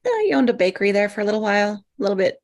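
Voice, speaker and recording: A woman's voice: medium pitch, sing-song, racing, darkish tone, lightly acted. A woman reading the part of a flustered, self-deprecating woman in a live table read, natural and apologetic. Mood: shy, grateful.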